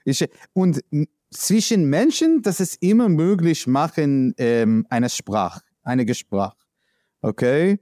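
A man from the United States with an American accent, speaking in a halting, searching way with many fillers, answering as an interviewee. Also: a little high, big pitch swings, dark tone, dry recording, studio quality. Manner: halting non-native speech with many fillers. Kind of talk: conversational interview.

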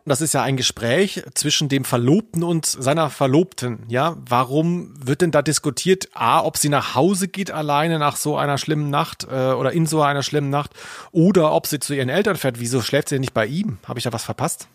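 A man's voice: a little high, normal speed, dry recording, clean studio sound. A man co-hosting a casual comic podcast, asking questions in a mock-puzzled way. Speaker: podcast co-host.

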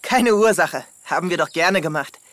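A woman voicing a plucky, excitable young toy hero in a children's radio play, with bold, cheeky, urgent exclamations. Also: lowish voice, moderate pace. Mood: delighted.

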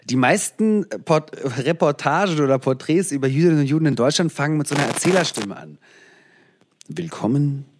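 A man from the former Soviet Union talks as an interview guest, searching for his words with pauses and restarts. His voice sits a little higher than usual for a man. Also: expressive, background noise. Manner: thoughtful, searching, frequent fillers and restarts. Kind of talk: reflective interview answers.

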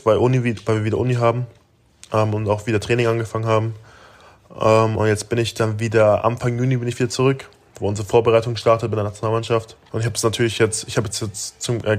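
A young man of nineteen from Germany talks through interview questions in a loose, rambling way, full of fillers and restarts. His voice sits on the low side and stays flat, with little rise and fall. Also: fast. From Germany (Augsburg). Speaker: interview guest, basketball player. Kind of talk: casual interview answers.

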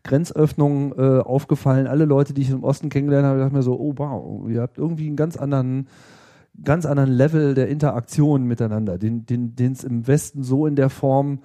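Casting: middle-aged man, very mellow dark tone, casual podcast talk, searching and repetitive phrasing. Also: mid-range voice, fairly even intonation, brisk. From Hanover, western Germany. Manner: hesitant, repeats words while forming thoughts.

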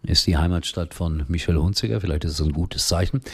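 An older man hosting a casual daily football talk show, chatty and rambling with lots of fillers, a little hoarse from a cold. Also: low-pitched, a little fast, a little mellow. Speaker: football commentator and show host.

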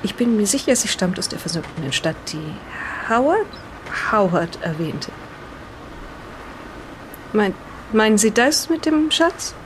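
A woman playing a polite, careful reader in an improvised audio play, speaking in a measured and slightly halting way. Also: mid-range voice, very animated, a little hiss or hum, rough recording. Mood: intrigued. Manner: polite, measured, halting over unfamiliar words. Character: polite, careful woman reading an explorer's old diary. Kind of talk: improvised in-character audio play with diary reading.

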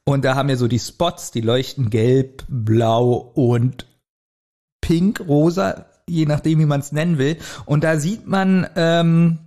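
A man's voice: fairly high pitch, takes it slowly, mellow. A man chatting casually as a podcast co-host, informal and reviewing as he goes. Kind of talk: casual chat reviewing an audio drama. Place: remote recording at a computer.

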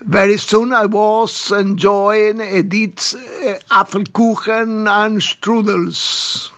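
A man from Argentina giving a slow, measured personal account with pauses. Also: sits high, fairly bright tone. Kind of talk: recorded personal statement. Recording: dull lo-fi recording.

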